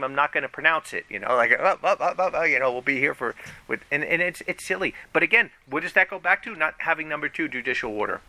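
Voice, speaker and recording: Male preacher, conversational sermon, gravelly voice with lively pitch. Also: no echo. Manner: animated, conversational preaching with rising emphasis. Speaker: pastor.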